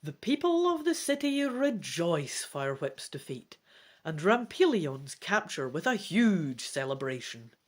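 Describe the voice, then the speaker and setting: A gamebook reader and host giving dramatic, deliberate storybook narration with casual asides. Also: medium pitch, sing-song. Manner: theatrical, deliberate phrasing.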